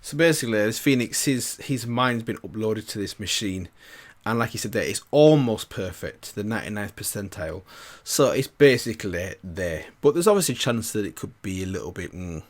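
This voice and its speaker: A man's voice: fairly low pitch, animated, brisk. A man giving casual reaction commentary as a video host, rambling and thinking aloud with repeated phrases.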